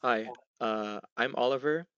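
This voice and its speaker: A man's voice: mid-range voice, unhurried, fairly bright tone, clear, resonant tone, no room sound, dull audio. A young man from Hawaii answering interview questions casually, with many fillers and restarts. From Hawaii, USA.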